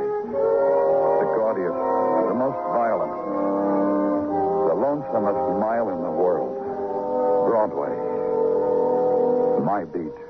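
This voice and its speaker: A man playing a hard-boiled, brooding New York police detective in an old-time radio crime drama. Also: on the low side. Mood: brooding, poetic. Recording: muffled vintage radio recording with music.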